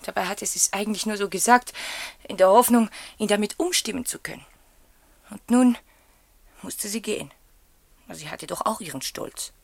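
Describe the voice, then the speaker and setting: An actress playing a proud, troubled young wife in a German radio play, loyal and evasive. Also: female voice, mid-range voice, normal speed, gravelly, no room sound. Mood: defensive.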